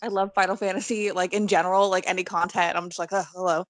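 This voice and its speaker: A woman's voice: on the low side, level tone, quick pace, no echo. A woman guest on a podcast chatting casually in an informal, giggly way. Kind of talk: casual chat.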